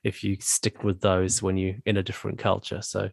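A man in his forties from Zimbabwe, a church elder telling his life story in an interview, talking thoughtfully with pauses and restarts. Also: sits low, monotone, vocal fry, husky, no room sound. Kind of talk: conversational interview.

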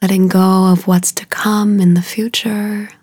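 A woman guiding a meditation in a soothing, hushed way, soft and breathy at the ends of phrases, with unhurried, drawn-out phrasing. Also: medium pitch, little pitch movement, no room sound, studio quality. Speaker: meditation guide. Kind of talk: guided meditation.

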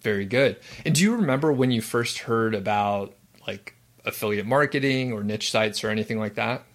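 A man with a low voice hosts a casual interview. He speaks in an upbeat, encouraging way, with the odd filler, asking questions and cheering his guest on. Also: moderate pace. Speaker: host.

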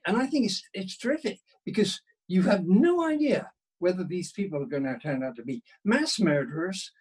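A husky male voice with lively, swinging intonation. He talks as an interview guest, casual and conversational, looping through asides and restarting his sentences as he goes. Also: high voice. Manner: halting, rambling with self-interruptions and asides. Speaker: guest, novelist. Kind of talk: casual interview chat about writing.

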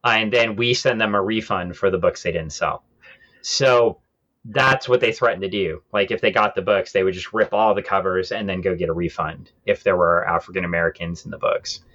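Low-voiced man podcasting, slightly muffled. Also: fairly even intonation, a little fast, fairly bright tone. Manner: explanatory, conversational. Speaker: podcast host. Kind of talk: casual discussion of comic book history.

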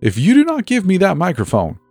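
Man acting as stern woman principal, raised pitch, scolding, spitting contempt, animated, story narration. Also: brisk, no echo, faint music behind, full character voice. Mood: angry. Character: scornful, scowling woman high school principal.

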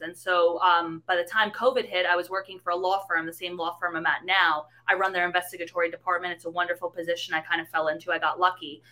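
A woman's voice: fairly low pitch, sharp tone, low-quality recording. A young woman from New York City with a slight Bronx accent, answering interview questions in articulate, detailed explanations. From New York City, USA. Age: young adult. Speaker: guest.

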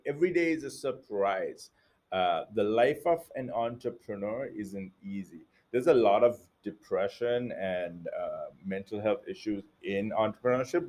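A man's voice: medium pitch, a little mellow. A man from Sri Lanka, an entrepreneur guest, answering interview questions casually with many fillers and restarts.

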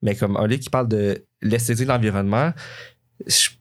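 Quebec man with a cold, low voice, eager, rambling talker. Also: steady tone, fairly quick. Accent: Quebec French. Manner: enthusiastic, rambling. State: has a cold. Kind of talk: casual chat.

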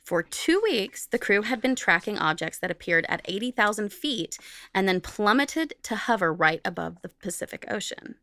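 A woman's voice: medium pitch, lively intonation, normal speed, bright, forceful tone, dry recording. A woman co-hosting a podcast, reading from notes in an informal, jokey way and carefully repeating technical terms. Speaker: podcast co-host.

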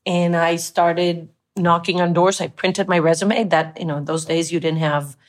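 A middle-aged woman from Colombia, Spanish her first language, talks through her early working years as an interview guest. Her voice sits on the low side, and she tells her story earnestly, acting out the things people said to her. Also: level tone, normal speed. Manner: reflective, earnest, recounts with quoted dialogue.

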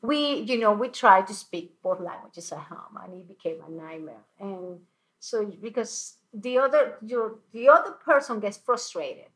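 A woman's voice: mid-range voice, animated, unhurried, a bit bright, low-quality recording. Laughing woman guest. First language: Spanish. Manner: laughs often, talks with restarts. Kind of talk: casual interview chat.

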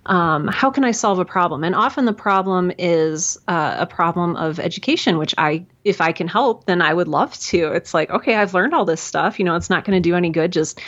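A woman's voice: fairly low pitch, fairly quick, hardly any pauses. A woman giving earnest, effusive answers as a guest in a conversational interview, often acting out little quotes. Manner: earnest, effusive, animated self-quoting. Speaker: podcast guest, writer and mentor. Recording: dull, lo-fi sound.

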